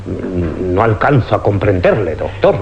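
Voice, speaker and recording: An actor playing a skeptical, impatient police commissioner in a suspense radio drama, formal and probing, with an accent from Spain. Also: male voice, low-pitched, very animated, unhurried, heavily acted. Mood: impatient, puzzled. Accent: Spanish, from Spain. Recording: dull, noisy old radio recording with hum.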